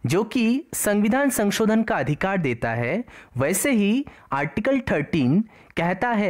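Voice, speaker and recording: A woman's voice: medium pitch, a little mellow. A woman narrating a slide-based exam-prep lecture, explaining each term in two languages. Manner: explains each term twice, in Hindi and in English.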